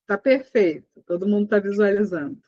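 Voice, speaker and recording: A woman hosting a video call, offering quick, helpful interjections. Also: medium pitch, expressive, mellow, no echo, muffled recording. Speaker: host. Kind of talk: casual moderating on a video call.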